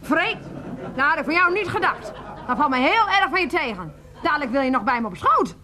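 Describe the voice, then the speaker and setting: Fussy aunt in a radio play, high voice, swoops all over, doting but quick to scold. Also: female voice, sing-song, a crowd in the background. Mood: indignant, scolding. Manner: exclamatory, fussing, chiding. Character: scolding, indulgent aunt doting on her nephew.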